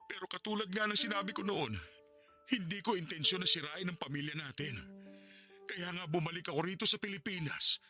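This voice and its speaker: A man's voice: sits high, fairly quick, pushed and bright, faint music behind. A man acting a remorseful middle-aged father in a Filipino radio drama, apologetic and pleading, with a Filipino accent.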